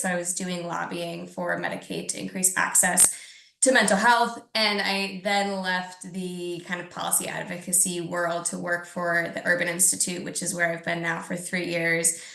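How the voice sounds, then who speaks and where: Woman, low voice, heavy vocal fry, measured delivery, echoey room. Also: bright, forceful tone. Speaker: panelist. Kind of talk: panel introduction.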